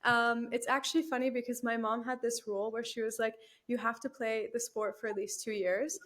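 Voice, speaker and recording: A woman's voice: on the high side, little pitch movement, brisk, fairly bright tone. A young woman, chatting as a guest in an interview, laughing easily and using lots of fillers. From Canada. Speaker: guest, new team member. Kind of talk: casual interview chat.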